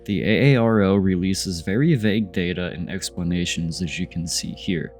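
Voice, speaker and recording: A man with a very low voice and a stuffy, congested sound narrates clips in a skeptical voice-over. He keeps asking pointed questions about what the footage shows. Soft music plays faintly underneath. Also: normal speed. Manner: skeptical, poses rhetorical questions. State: congested, nasal from a cold. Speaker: channel host narrating UFO footage. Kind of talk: voice-over commentary on video clips.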